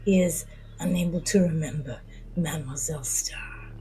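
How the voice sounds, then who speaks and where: A woman voicing a polite, tired alien villain man in an audio drama, scheming coldly in a hushed, breathy, unhurried way. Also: sits low, a little hiss or hum, pitched below the performer's own voice, rough recording. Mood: courtly admiration. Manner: courtly, unhurried, menacing.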